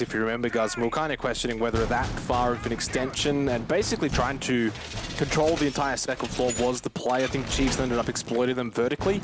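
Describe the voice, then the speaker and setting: A man giving live, analytical esports commentary in a conversational way, often addressing the audience directly. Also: medium pitch. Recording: clear game noise behind the voice.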